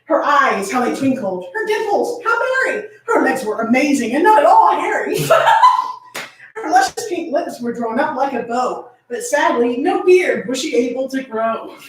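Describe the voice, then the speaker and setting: A high-voiced woman reads a comic story aloud with theatrical flair and lively swings in pitch. She drops in jokey asides as she goes. The sound is very echoey, as if recorded away from the microphone. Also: normal speed. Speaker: fan fiction reader and host. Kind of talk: dramatic comedic story reading with banter. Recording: roomy, echoing, distant mic with noise.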